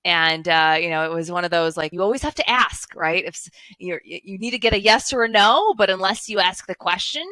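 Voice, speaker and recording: A woman's voice: on the low side, fairly quick, sharp tone, dry recording. A woman, telling her story as a guest over a headset video call, earnest and fluent with frequent fillers. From Chicago, USA. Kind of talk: casual interview storytelling. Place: home office with blinds, indoors.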